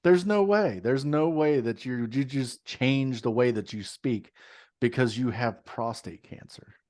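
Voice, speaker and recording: A man co-hosting a casual podcast, going off on a loud, profane rant. He sounds incredulous and mocking, and his voice jumps higher when he gets worked up. His pitch sits in the middle for a man. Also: unhurried. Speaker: podcast co-host. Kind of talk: casual chat.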